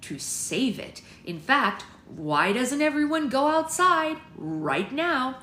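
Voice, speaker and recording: Lady reading as earnest young woman trying to keep everyone calm, firm, storybook, echoey room. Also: medium pitch, measured pace, lightly acted. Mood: placating, urgent. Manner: placating, firm, tense. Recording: echoey room with faint noise.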